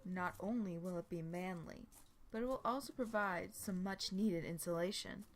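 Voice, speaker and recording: A woman voicing a prim, stuffy young man who gets flustered, in an animated story reading. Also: lowish voice, moderate pace, slight background noise, close to an everyday voice. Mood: smug, pompous. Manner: pompous, stammering when flustered.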